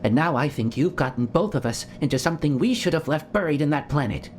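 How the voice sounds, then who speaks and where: A man playing an anxious, earnest doctor in a sci-fi audio drama, urgent and halting. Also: on the high side, animated, a little mellow, slight background noise, lightly acted. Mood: uneasy explaining.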